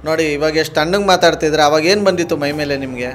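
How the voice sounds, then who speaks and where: Male prank-call host, southern Karnataka accent, quick-fire aggrieved banter, insistent and high-pitched. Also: music in the background. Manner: argumentative, feigning grievance, persistent.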